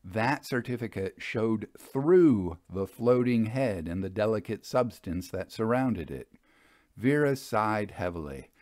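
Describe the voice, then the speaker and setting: A man's voice: on the low side, lively intonation, measured pace, a little mellow, no room sound, clean studio sound. A man reading a scripted story as its narrator.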